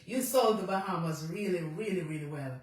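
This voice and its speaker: Radio host, high and bright, chatty and laughing, heard from a distance in a very echoey room. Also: male voice, fairly quick. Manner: chatty, laughs often, repeats guest's answers back. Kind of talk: relaxed radio interview.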